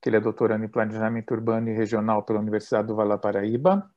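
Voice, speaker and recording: A man's voice: lowish voice, fairly even intonation, very fast. A Brazilian man moderating an online academic debate, polite and conversational with some hesitation, over a video call. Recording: dull, lo-fi video call audio.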